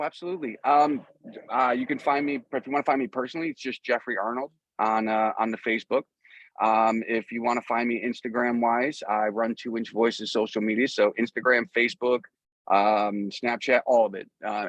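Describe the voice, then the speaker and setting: A man's voice: medium pitch, dry recording, lo-fi sound. A man speaking casually and informally as a musician guest in an interview, rambling a little as he goes.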